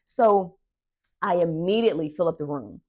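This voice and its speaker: Young female vlogger, low voice, casual and animated storyteller who leans dramatically on key words. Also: normal speed. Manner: animated, dramatic, acts out dialogue and emphasizes words. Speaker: podcast host and aspiring actress. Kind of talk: casual storytelling vlog chat.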